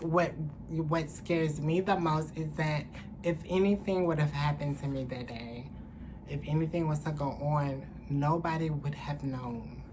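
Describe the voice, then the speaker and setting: A young woman with a low voice talks casually to the camera as a vlogger. She tells her story candidly, with slangy, salty language. She is in a small room, and there is faint noise behind her. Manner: candid, animated, profane slangy talk. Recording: clearly noisy room ambience.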